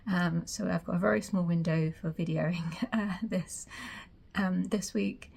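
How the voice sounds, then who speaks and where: A woman hosting a casual vlog, chatting in a rambling, self-interrupting way with a croaky voice. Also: on the low side, fairly even intonation, a little slow, darkish tone. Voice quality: croaky morning voice. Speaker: sewing vlog host. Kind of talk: casual chat to camera.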